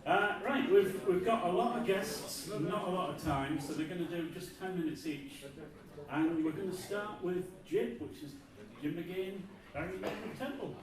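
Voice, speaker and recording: A male MC with a fairly high voice makes informal announcements. He is far from the mic in a very echoey hall, with a crowd clearly audible. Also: normal speed. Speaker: MC introducing acts. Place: large indoor festival venue, live audience.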